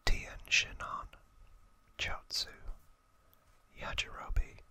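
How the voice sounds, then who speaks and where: A man in his thirties speaking in a breathy, hushed whisper as an ASMR host, gently and with pauses. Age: 30s. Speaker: host of an ASMR video channel. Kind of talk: ASMR trivia talk, whispered.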